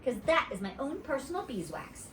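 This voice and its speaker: Woman as an indignant little schoolgirl, high-pitched. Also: very animated, normal speed, fairly bright tone, a little hiss or hum. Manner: childish, whiny, insistent. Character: indignant, fretful, blunt little schoolgirl narrator. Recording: roomy echo, distant mic.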